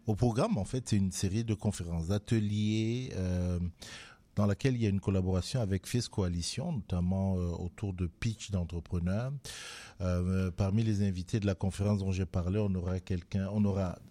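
A man hosting a radio interview, relaxed and conversational, with frequent hesitations. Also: low voice, normal speed. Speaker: radio show host.

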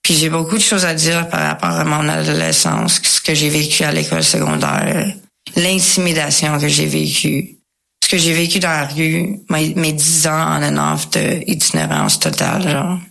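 An English-speaking woman tells her personal story casually, with slangy English words mixed in and pauses to search for words. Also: low voice, level tone, vocal fry, fairly bright tone. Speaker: guest sharing a recovery testimony.

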